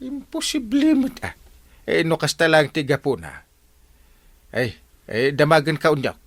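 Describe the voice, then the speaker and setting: An exasperated village captain in an Ilocano radio comedy. His slightly high voice leaps all over the place as he scolds and sputters, recorded very dry. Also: measured pace, dry recording, full character voice. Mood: exasperated scolding. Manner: animated, sputtering, repeats exclamations.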